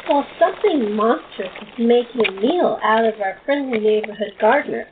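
A woman narrates a radio play in an arch, scripted storytelling style. Her voice sits a little high, on a muffled recording with clear background noise. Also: lively intonation, normal speed. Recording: lo-fi, clearly noisy with clicks.

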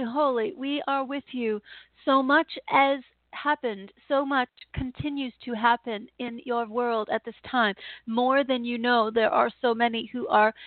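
A woman delivering a channeled spiritual message in a slow, halting, repetitive way, with sighs. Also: fairly high pitch, dry recording. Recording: tinny phone-like line, dry.

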